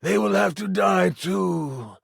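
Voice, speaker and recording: An actor playing an ancient, evil king in a video game, cold and menacing, with a gravelly, husky voice and grandiose delivery. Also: high-pitched, dry recording, studio quality, full character voice. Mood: cold threat. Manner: slow, grandiose monologuing. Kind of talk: video game villain acting.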